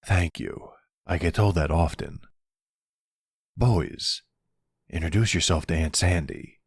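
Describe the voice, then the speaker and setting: A man playing a charming, self-assured mafia boss boyfriend in an ASMR roleplay, speaking in a suave, measured, formal way. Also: sits low, gravelly, husky, dry recording, clean studio sound, heavily acted. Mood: suave, composed. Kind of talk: ASMR roleplay audio drama.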